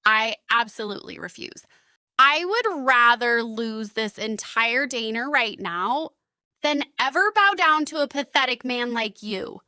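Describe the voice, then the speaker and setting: A strong, proud young cook from a romcom voiced by a woman. Her voice is high and forceful, with a dry studio sound. She is forthright and wears her feelings openly. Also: animated, measured pace, smooth, no room sound, heavily acted. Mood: defiant. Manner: forthright, emotional.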